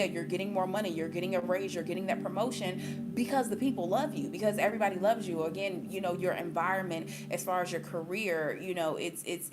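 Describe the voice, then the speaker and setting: An American woman talking casually and emphatically to viewers as she gives an intuitive reading, repeating her points for stress. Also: fairly low pitch. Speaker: spiritual reader giving intuitive readings to viewers. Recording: music playing behind the voice.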